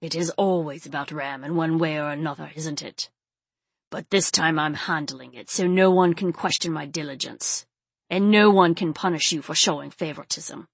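A woman plays a grim male enforcer in an audiobook. He speaks low and gravely, with restrained anger and a slight growl. The sound is close and dry. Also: dry recording, heavily acted. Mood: intense, restrained. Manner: grave, measured. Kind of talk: audiobook character acting.

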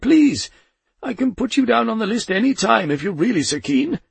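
Brief: male narrator doing a meek storybook Death, flustered and fussy, high and husky. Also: wildly swooping pitch, normal speed, darkish tone, dry recording, heavily acted. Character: nervous, lightly built male Death with clipboard.

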